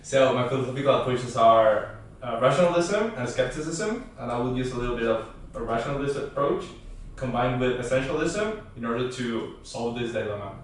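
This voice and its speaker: A man's voice: medium pitch, lively intonation, brisk, a little hiss or hum, lightly acted. Man as self-pleased philosopher guest, very echoey. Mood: explaining. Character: French rationalist philosopher, self-pleased male talk show guest. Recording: very echoey, distant mic, background music.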